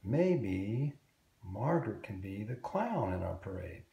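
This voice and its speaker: A man voicing an earnest, excitable young boy tiger in a children's storybook read-aloud. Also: on the low side, takes it slowly, creaky, roomy sound, dull audio, heavily acted. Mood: excited.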